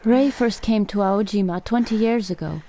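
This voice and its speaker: A woman playing a calm, sorrowful island rescuer in a radio play, telling things in a measured way. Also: medium pitch, a little hiss or hum, lightly acted. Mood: solemn, sorrowful. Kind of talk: radio drama acting.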